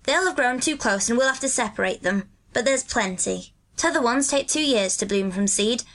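A woman's voice: a little high, lively intonation, normal speed, a bit bright, pitched above the performer's own voice. A woman voicing a cheerful, earthy twelve-year-old country boy in a storybook, with a hearty, good-natured Yorkshire dialect. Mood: eager.

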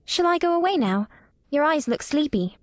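A woman's voice: sits high, big pitch swings, normal speed, no room sound, clean studio sound, voice pushed up. A woman voicing an earnest, self-assured little girl in an audiobook. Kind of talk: audiobook character voice.

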